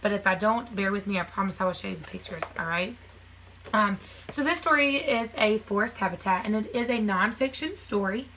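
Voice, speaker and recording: A woman's voice: mid-range voice, fairly quick, fairly bright tone, slight background noise. A woman teacher reading a children's nonfiction book aloud, phrasing it simply for young listeners with casual asides.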